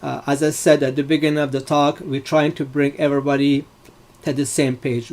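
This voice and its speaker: A man's voice: on the high side, a little mellow. A middle-aged man from Morocco giving a live online data science lesson, speaking with many fillers and loosely built sentences.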